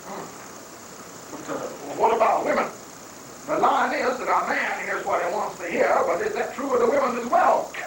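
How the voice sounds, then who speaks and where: A man's voice: very high-pitched, lively intonation, normal speed. A man playing an anxious, bumbling propaganda minister with a hesitant, fretful delivery in an amateur reading of a stage satire. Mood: puzzled. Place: room at a live library reading. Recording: echoey, distant room recording with faint noise.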